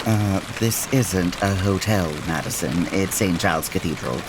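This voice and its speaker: Victorian detective, proper and precise, very animated intonation, audio comedy, noisy rainy backdrop. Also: male voice, deep voice, measured pace. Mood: polite, firm. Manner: formal, precise, gently pompous. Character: composed, courteous Victorian gentleman detective. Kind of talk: comedy audio drama acting. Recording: noisy, rain-like hiss behind.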